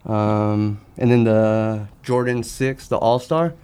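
A man with a somewhat low voice talks as a guest on a podcast. He keeps it casual, answering in brief, understated phrases. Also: fairly even intonation, takes it slowly, dark tone, clear, resonant tone. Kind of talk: casual chat.

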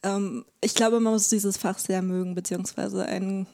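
A woman's voice: medium pitch, fairly even intonation, normal speed, gravelly, mellow. A young woman talking as an interview guest, casual and wry in manner. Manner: wry, self-ironic. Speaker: interview guest, research associate.

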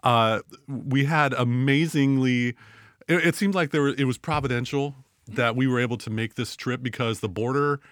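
A man's voice: medium pitch, moderate pace, a bit bright, no echo, clean studio sound. A man co-hosting a casual podcast chat, playful and jokey with an upbeat delivery. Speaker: podcast co-host.

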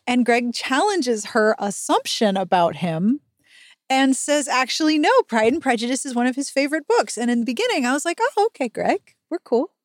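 A woman's voice: sits high, lively intonation, moderate pace, no room sound, clean studio sound. A middle-aged woman hosting a podcast, casually recapping a book with wry, sarcastic asides. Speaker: podcast host.

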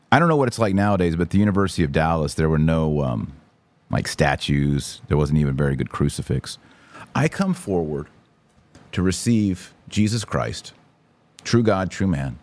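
Deep-voiced, very animated American man. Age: middle-aged. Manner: animated, emphatic, exasperated. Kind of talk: opinion commentary with anecdotes.

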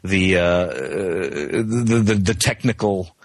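A man's voice: low voice, lively intonation, slow. A man calling in for an interview, talking in a friendly way with many restarts. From Canada, West Coast. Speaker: actor, interview guest. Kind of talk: casual interview over the phone. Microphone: remote call.

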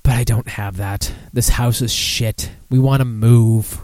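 A man's voice: mid-range voice, fairly even intonation, a little slow, a little mellow, dry recording. A mildly tipsy man hosting a casual live podcast, rambling and swearing in a self-deprecating way. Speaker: podcast host. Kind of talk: casual live beer-news chat.

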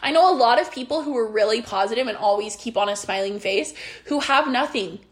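A woman's voice: a little high, fairly bright tone. A young woman coaching on business and belief in a casual, earnest monologue, rambling with restarts, her voice worn after being sick.

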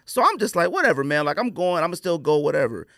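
A man's voice: on the high side, very animated, fast talker, bright, forceful tone, no room sound, studio quality. A man hosting a podcast, telling stories in a casual, colloquial, opinionated way and acting out quoted exchanges. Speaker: podcast host.

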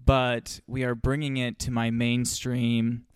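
A man's voice: medium pitch, level tone, slow, clear, resonant tone, no echo, clean studio sound. A man co-hosting a casual podcast, chatting in an upbeat, easygoing way with plenty of "um" and "like". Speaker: podcast co-host. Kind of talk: casual chat.

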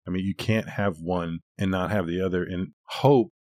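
A man's voice: low-pitched, steady tone, normal speed, darkish tone, dry recording. A man co-hosting a podcast, talking in a casual, reflective and thoughtful manner. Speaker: podcast co-host.

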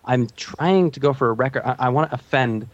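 A man's voice: medium pitch, brisk, no room sound, highs cut off. A man chatting casually as a podcast panelist, with wry, self-mocking humour. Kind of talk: casual TV recap chat.

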